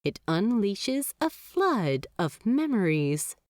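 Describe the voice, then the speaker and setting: Expressive woman reading a story aloud. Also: medium pitch, takes it slowly, no echo, clean studio sound. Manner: expressive, laughing often. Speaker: narrator. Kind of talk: audiobook fanfiction narration.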